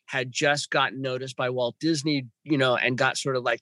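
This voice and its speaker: A man's voice: medium pitch, bright, forceful tone, no echo. Rambling man in his fifties, dry. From Los Angeles, California. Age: 50s. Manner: rambling, restarts, many fillers. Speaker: guest. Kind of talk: podcast interview storytelling. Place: home with birds nearby.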